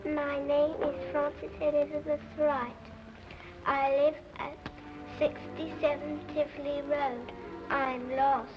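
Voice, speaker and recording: A woman playing a lost, frightened, polite little girl in an old radio drama, speaking in a halting, careful way. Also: high voice, flat delivery. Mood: timid, pleading. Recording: dull old radio recording with music.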